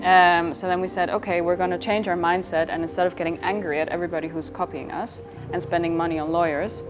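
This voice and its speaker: A woman's voice: lowish voice, fairly even intonation, quick pace. A woman from Switzerland talking as a company founder in an interview, telling stories in a casual, conversational way and quoting imagined dialogue. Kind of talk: interview storytelling in casual speech. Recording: clear background music.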